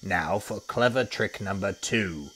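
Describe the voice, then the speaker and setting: Male reader doing a deep, scheming storybook crocodile, gloating and muttering. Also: slow, fairly bright tone, a little hiss or hum. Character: greedy boastful male crocodile, sly.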